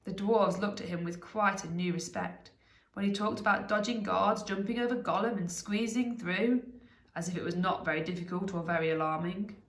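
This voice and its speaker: A woman narrating a storybook aloud in an expressive reading style. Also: mid-range voice, normal speed, echoey room, low-quality recording.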